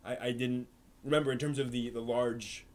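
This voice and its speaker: A man talks casually on a live stream, answering the chat in a loose, rambling way. He trips over his words, restarts often and leans on fillers as he thinks aloud. His pitch sits right in the middle for a man. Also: steady tone, moderate pace, a little mellow.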